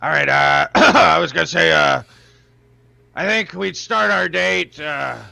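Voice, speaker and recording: Gruff old suitor played by a man, very gravelly, sharp and bright, faint noise behind. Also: a little high, a little slow, no echo, exaggerated voice. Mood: smarmy. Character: gruff old male suitor named Morty.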